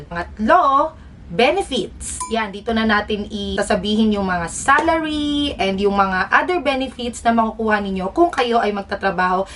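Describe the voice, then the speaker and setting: A woman's voice: a little high, a bit bright, far from the mic, a little hiss or hum. A Filipino woman explaining things casually to vlog viewers in a chatty, informal way with joking asides. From Philippines. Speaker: vlogger, air traffic employee.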